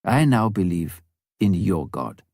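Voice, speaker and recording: A man's voice: deep voice, sing-song, measured pace, darkish tone, no room sound, studio quality, exaggerated voice. Man reading as a solemn old village chief. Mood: earnest. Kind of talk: storybook character quote in narration.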